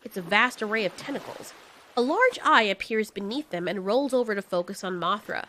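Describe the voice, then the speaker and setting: A woman's voice: medium pitch, animated, brisk, sharp tone, a little hiss or hum. A woman giving scripted story narration as narrator and host of an audio series.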